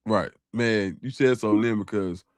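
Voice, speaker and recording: A man co-hosting a casual live-stream podcast, reading viewer donations aloud in a relaxed, slangy, grateful way. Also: fairly low pitch, moderate pace, no room sound. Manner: relaxed and grateful, slangy, calls people dog and bro. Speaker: show host reading super chats.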